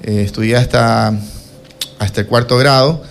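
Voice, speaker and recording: A man with a coastal Ecuadorian accent answering interview questions about himself in a formal, deliberate, hesitant way. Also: medium pitch, steady tone, vocal fry, a little hiss or hum. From Quevedo, Ecuador. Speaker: interview guest, accountant.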